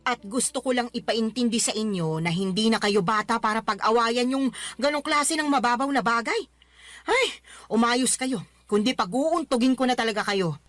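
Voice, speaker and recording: A woman's voice: on the high side, normal speed, a bit bright, no echo, close to an everyday voice. A woman acting a fond middle-aged mother who teases and scolds in an exclamatory way in a radio drama. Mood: mock scolding. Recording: music under the voice. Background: music.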